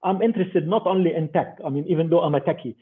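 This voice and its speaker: A man's voice: on the high side, quick pace, breathy edge. An older man speaking as an investor guest in an interview, emphatic and repeating his words, with many fillers. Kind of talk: interview over a remote call. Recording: tinny phone-like call audio.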